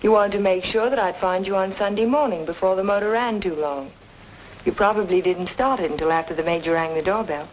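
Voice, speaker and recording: An actress playing a determined, sharp-witted woman in a noir radio mystery, with crisp, insistent delivery. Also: medium pitch, a little fast, lo-fi sound, a little hiss or hum, lightly acted. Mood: insistent, knowing. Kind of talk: old-time radio noir acting and narration. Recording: old radio drama with music behind.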